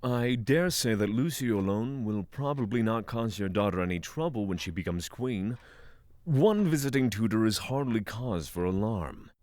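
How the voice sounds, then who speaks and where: A man's voice: lowish voice, lively intonation, moderate pace, darkish tone, dry recording, close to an everyday voice. A man playing a courteous, skeptical spiritual traveller in a fantasy audio drama, with formal, dryly inquisitive phrasing.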